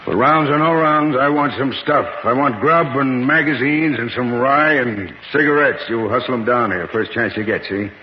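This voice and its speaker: An actor in a 1950s radio crime drama playing a gruff, bossy, scornful criminal on the run, giving curt, clipped tough-guy orders. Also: medium pitch, normal speed, hardly any pauses, natural voice. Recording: echoey, muffled, hissy old radio recording.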